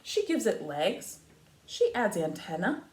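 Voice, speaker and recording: A woman reading a picture book aloud to young children like a teacher, with expressive, emphatic phrasing and explanatory asides. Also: medium pitch, measured pace. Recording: echoey room, faint background noise.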